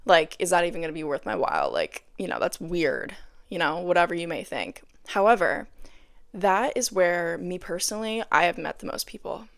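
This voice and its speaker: A young woman hosting a casual podcast. Her tired voice sits low and gravelly, and it is a little raspy. She chats loosely, saying "like" a lot, recorded dry and close. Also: big pitch swings, normal speed, dry recording. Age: young adult. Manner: chatty, peppered with "like". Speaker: podcast host. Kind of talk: casual solo podcast chat.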